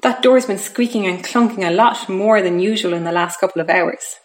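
A woman voicing an excitable, football-mad boy who narrates a story in an expressive, confiding, childlike way. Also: medium pitch, normal speed, voice pushed down, close to an everyday voice. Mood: puzzled, alert. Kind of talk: first-person audiobook narration.

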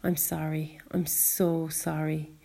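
Lady doing a guilty scottish dad for a storybook, kind, shaky voice, soft dark tone. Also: lowish voice, gravelly. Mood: remorseful, upset. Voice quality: wobbly, emotional voice. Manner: halting, earnest, apologetic. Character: remorseful Scottish father who caused an accident, upset and kind.

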